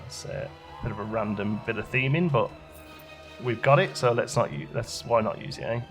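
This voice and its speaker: Low-voiced, gravelly man doing game commentary. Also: moderate pace, a bit bright, faint music behind. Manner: chatty, thinking aloud as he plays. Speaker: gaming channel host. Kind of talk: casual let's play commentary.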